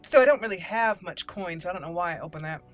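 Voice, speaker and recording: A woman's voice: lowish voice, expressive, fast talker, soft background music. A woman gaming host giving roleplay commentary, slipping between character lines and casual asides.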